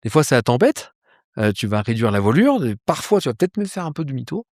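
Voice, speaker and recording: A man being interviewed about life and ambition, talking in a lively casual way with frequent fillers, restarts and mild swearing, outdoors. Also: mid-range voice, fast, dry recording, studio quality. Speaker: polar explorer guest. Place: outdoors with a view of a landscape.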